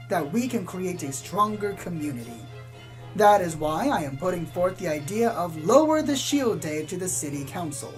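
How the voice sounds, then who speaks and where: A woman playing a calm small-town pony radio host in an audio drama, reporting eerie doom in a measured, deadpan news style. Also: on the low side, close to an everyday voice, rough recording. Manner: measured, deadpan about sinister things. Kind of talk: scripted spoof community radio news narration.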